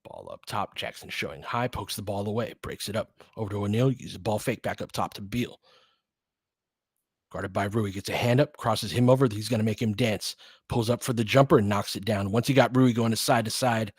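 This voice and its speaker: A man's voice: on the low side, slightly rough, no room sound. Dry, close-sounding man calling live basketball. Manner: clipped, slangy, conversational phrasing; partisan fan of one team. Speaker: basketball play-by-play commentator. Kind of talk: informal live play-by-play commentary with analysis.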